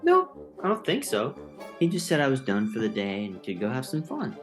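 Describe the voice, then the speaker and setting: A woman's voice: a bass voice, huge pitch swings, normal speed, soft background music, exaggerated voice. A woman playing a naive young male mouse in an audio drama, defensive and easily bored. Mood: breezy.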